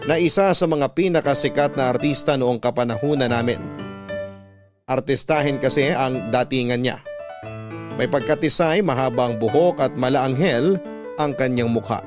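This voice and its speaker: A man's voice: medium pitch, natural voice. A man narrating a scripted first-person radio drama as a sincere letter writer looking back on youth, with a Filipino accent. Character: sincere adult man recounting his youthful love story. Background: plucked guitar music.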